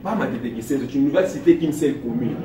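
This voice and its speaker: A man's voice: mid-range voice, lively intonation, fast talker, a crowd in the background. A man with a Congolese French accent playing a teacher in a comedy sketch, insistently drilling a student and repeating his questions. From Congo-Kinshasa. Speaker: comic teacher coaching for an exam. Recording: echoey room, far mic, loud audience.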